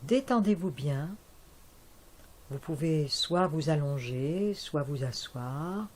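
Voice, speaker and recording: A woman guiding a yoga nidra relaxation in a soft, calm voice with long pauses. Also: deep voice. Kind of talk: guided relaxation.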